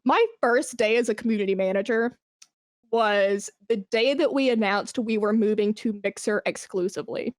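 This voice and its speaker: A woman chatting casually as an interview guest, telling work stories in a candid, slangy, animated way. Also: mid-range voice, normal speed, dry recording, studio quality. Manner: candid, animated storytelling with slangy asides and acted-out quotes. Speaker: esports brand manager, podcast guest.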